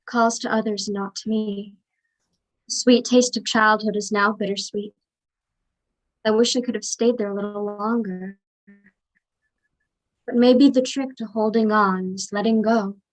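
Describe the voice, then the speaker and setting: A woman's voice: mid-range voice, steady tone, unhurried, long pauses, natural voice, low-quality recording. A woman playing a wistful daughter reliving childhood, speaking lyrical, chant-like lines in a staged play reading. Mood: bittersweet. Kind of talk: poetic stage monologue.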